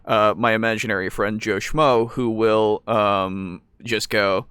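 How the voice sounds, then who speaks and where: A man with a fairly low voice talks casually as a podcast co-host. He feels his way through each thought out loud, hesitating as he goes. The sound is dry and close, with no room to it. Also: fairly even intonation, measured pace, clear, resonant tone, no room sound. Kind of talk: casual discussion of tabletop roleplaying.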